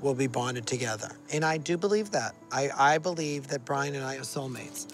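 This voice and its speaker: A man in his fifties from Massachusetts talks openly and reflectively about his own life. His voice sits at an ordinary middle pitch. Faint music plays underneath. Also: normal speed. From Massachusetts, USA. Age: 50s. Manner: open, heartfelt, reflective. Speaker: interviewee. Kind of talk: personal documentary interview.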